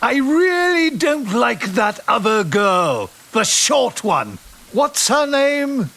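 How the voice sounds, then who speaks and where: An actor playing a besotted, sentimental man in an audio drama, halting and musing, with breathy exclamations. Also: very high voice, very animated, sharp tone, full character voice. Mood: playful. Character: besotted sentimental man, aged twenty and reminiscing at eighty.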